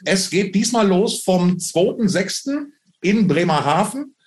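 A man's voice: high voice, sing-song, a little slow. A man speaking casually in an interview as a press officer and guest.

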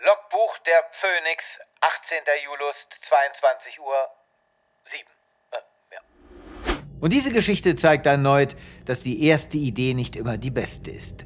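An actor playing a pompous, self-satisfied space veterinarian hero in a German audio drama. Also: male voice, fairly high pitch, a little slow, fairly bright tone. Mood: reflective, pompous.

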